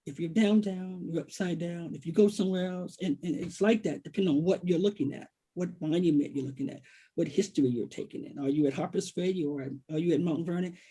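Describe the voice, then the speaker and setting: An American man, a writer, speaking reflectively and haltingly in a literary conversation, repeating phrases for emphasis. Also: high-pitched, warm tone, breathy edge. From Washington, D.C., United States. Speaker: poet and writer, guest author.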